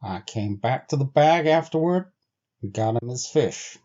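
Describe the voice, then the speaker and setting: Man playing an insistent, slow-spoken rustic dog driver. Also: mid-range voice, expressive, warm tone, lo-fi sound, natural voice. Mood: grave insistence. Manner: deliberate, grave, rustic old-time speech. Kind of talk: storybook character voice.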